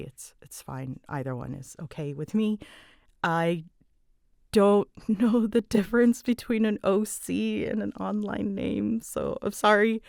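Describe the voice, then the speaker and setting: A woman with a slightly low voice talks casually in a very dry, close recording. She takes her time, halting and restarting her sentences as she rambles. Her manner is unscripted, apologetic and self-deprecating. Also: dry recording. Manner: halting, often breaks off mid-sentence. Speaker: audio roleplay creator answering fan questions.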